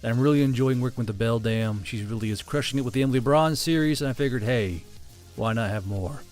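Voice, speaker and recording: Halting man chatting casually to viewers. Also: mid-range voice, darkish tone, soft background music. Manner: halting, stumbles over words. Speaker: channel host. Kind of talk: casual unscripted chat to viewers.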